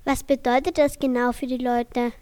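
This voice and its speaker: A woman conducts an interview, putting short questions to her guest. Her voice sits high. She keeps the questions plain and polite. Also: level tone, normal speed, darkish tone.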